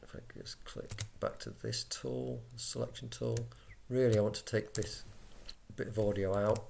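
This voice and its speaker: A man talking through an informal software tutorial, casual and a little hesitant as he thinks aloud into a laptop microphone. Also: medium pitch, steady tone, moderate pace, vocal fry. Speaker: tutorial presenter for radio volunteers. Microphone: built-in laptop microphone. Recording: laptop mic, background noise, key clicks.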